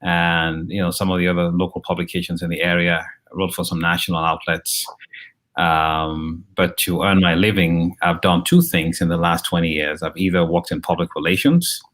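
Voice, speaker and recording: Very deep voiced guy from nigeria in his 50s, interview guest, slow and thoughtful with lots of ums. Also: fairly bright tone. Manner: measured, with frequent ums and restarts. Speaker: interview guest, journalist and professor. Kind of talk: conversational interview.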